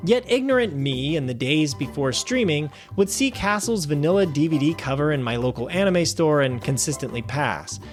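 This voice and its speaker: A man hosting a film review show, reading a scripted review with wry, animated asides. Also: on the high side, normal speed, hardly any pauses, soft background music. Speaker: film review podcast host.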